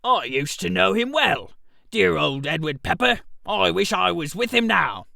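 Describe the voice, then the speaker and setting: A man's voice: sits high, animated, a little slow, gravelly, pushed and bright, no echo, studio quality, heavily acted. A man voicing a genial, oblivious older father with a breezy, chatty manner in a comic radio story. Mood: fond.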